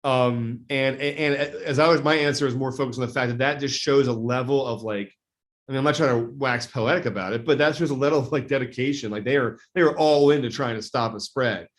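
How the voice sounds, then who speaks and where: A man speaking as a state legislator guest, answering in a measured, deliberate way and often correcting himself. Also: mid-range voice, steady tone. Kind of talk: interview answers.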